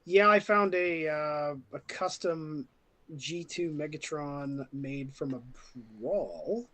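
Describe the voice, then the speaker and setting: A man's voice: a little high, takes it slowly, a bit bright. A man presenting things casually as a panelist in a group show-and-tell.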